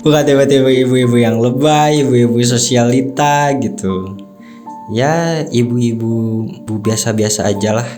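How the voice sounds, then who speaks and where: A man's voice: medium pitch, measured pace, darkish tone, faint music behind. A man of twenty-two answering interview questions in an easygoing, hesitant way. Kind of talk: casual chat.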